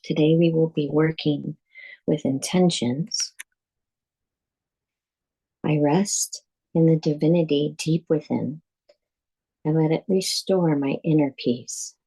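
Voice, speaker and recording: A woman guiding a yoga nidra meditation as a teacher, speaking calmly and deliberately in measured phrases with pauses. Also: low-pitched, husky, poor audio. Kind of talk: guided meditation, scripted instruction.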